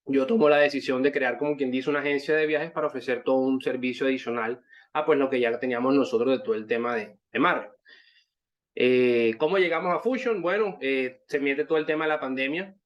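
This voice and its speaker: A man of thirty-five with a Barranquilla accent from Colombia's Caribbean coast, giving a wordy personal testimonial to an online group, circling back over his phrases. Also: medium pitch. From Colombia, Caribbean coast (Barranquilla, born in Santa Marta). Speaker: network marketing member giving a testimonial. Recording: dull, muffled online-call audio.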